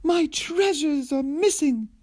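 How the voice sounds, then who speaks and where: A man voicing a distressed, indignant storybook queen in a shrill, exclaiming manner. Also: takes it slowly, darkish tone. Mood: distraught.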